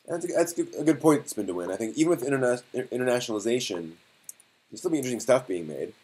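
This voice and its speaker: Man thinking aloud, halting delivery. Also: medium pitch, animated, darkish tone, husky. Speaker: live stream host. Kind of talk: casual live stream discussion.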